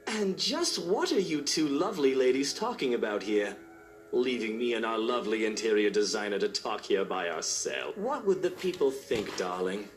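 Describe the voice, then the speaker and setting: A man's voice: medium pitch, very animated, moderate pace, lightly acted. A man voicing a smug, theatrical wealthy husband in a visual novel game, flowery and mocking. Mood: playful. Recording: roomy sound with music behind.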